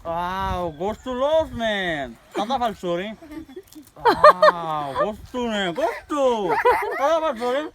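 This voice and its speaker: A woman from East Timor chatting in a lively, laughing way with family outdoors. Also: on the high side, slow. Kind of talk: casual chat with family. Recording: loud crowd noise behind.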